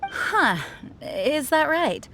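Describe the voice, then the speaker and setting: A woman voicing a composed, protective knight leader in a video game, measured, serious and formal. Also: high-pitched, very animated, a bit bright, a little hiss or hum, full character voice, low-quality recording. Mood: analytical. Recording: music behind the voice.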